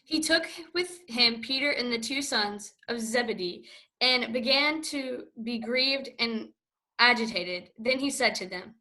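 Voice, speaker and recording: A woman narrating a scripture reading in a steady, even read-aloud way. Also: on the high side, unhurried, rough recording.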